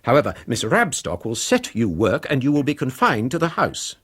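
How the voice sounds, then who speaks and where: Imperious Victorian papa: husky English voice, sweeping intonation, formal reproof, radio play. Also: male voice, mid-range voice, huge pitch swings, normal speed, a bit bright, exaggerated voice. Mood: stern, reproving. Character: stern, pompous Victorian father, master of the house. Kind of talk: 1990s British radio drama acting.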